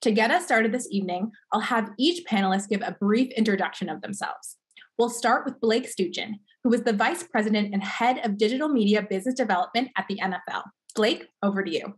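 A woman's voice: medium pitch, brisk. A young woman moderating a panel on a video call, introducing and prompting guests in a prepared hosting style. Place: indoor room, on a video call.

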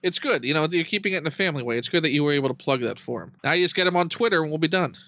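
A man's voice: a little high, expressive, racing, fairly bright tone. A man chatting casually as an interviewer, bantering with dry, wry humor.

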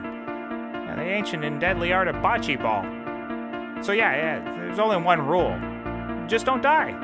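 An actor in a scripted comedy playing a brash, hapless private detective who rambles and corrects himself. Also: medium pitch. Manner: rambling, self-correcting, emphatic. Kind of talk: scripted audio comedy acting.

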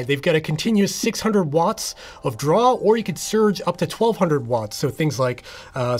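High-pitched North American man reviewing gear. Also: darkish tone. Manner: explanatory, conversational. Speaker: product reviewer, channel host. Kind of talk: casual product review to camera. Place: indoor studio room.

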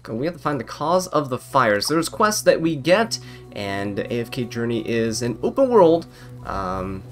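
A man's voice: medium pitch, big pitch swings, moderate pace. A young man giving casual gameplay commentary in a chatty, amused way, wandering off on tangents. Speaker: gaming video creator. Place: voice-over recorded apart, game music behind.

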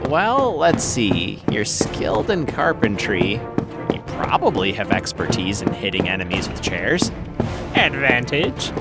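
An actor playing an excitable, playful male game master in a comic fan dub, talking conversationally. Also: mid-range voice, a little slow. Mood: encouraging. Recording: loud music under the voice.

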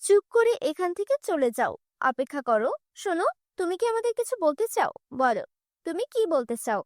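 A stern but kindly fairy of the forest, voiced by a woman in a cartoon tale. Her voice is very high and she speaks with command, often questioning. The sound is dry. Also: sing-song, normal speed, dry recording.

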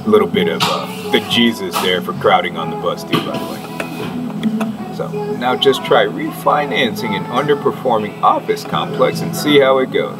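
Sardonic man with a wildly swinging pitch. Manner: sardonic, mock-preachy, halting. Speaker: online commentator. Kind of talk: rambling commentary while reading out an article. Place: indoor room, seen on webcam. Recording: distant mic under loud music.

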